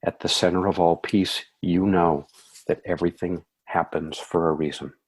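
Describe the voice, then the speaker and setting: A man leading a guided meditation in a soothing, measured way, with calm phrasing and gentle affirmations. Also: sits low, husky.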